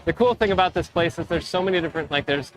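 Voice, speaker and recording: A man with a slightly raised pitch chats with guests on a busy expo floor for a live stream. He is upbeat and easygoing, and often trails off and restarts his sentences as he goes. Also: fast, rough recording. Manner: chatty, enthusiastic, trailing restarts. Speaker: livestream co-host interviewing creators. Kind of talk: casual live interview.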